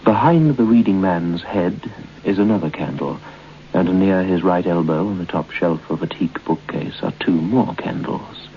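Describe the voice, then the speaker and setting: A man narrating an old radio ghost story in a deliberate, ominous way with weighty pauses. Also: sits low. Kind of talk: scripted old time radio horror narration. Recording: muffled old radio recording with hiss.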